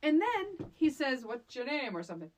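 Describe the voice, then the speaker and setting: High voiced lady on a movie podcast, super lively pitch, blunt n kinda exasperated, just chatting. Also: normal speed, slight background noise. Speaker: podcast co-host. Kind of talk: casual comedic movie-discussion chat.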